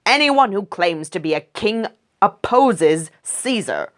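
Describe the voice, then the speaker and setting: A woman voicing an angry crowd of male leaders in a read-aloud scripture story, shouting insistently. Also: mid-range voice, animated, slow pace, pitched above the performer's own voice.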